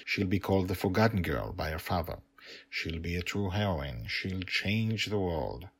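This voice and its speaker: A middle-aged man narrating a story podcast as its host and author, deliberate, with dramatic pauses and emphatic repetition. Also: sits low, lively intonation, husky.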